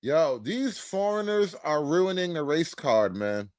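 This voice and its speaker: A man reacts to a video in a casual, profane way, mocking what he sees. His voice sits a bit high and moves a lot in pitch. It is recorded close and dry, with no room sound. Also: big pitch swings, slow, no room sound.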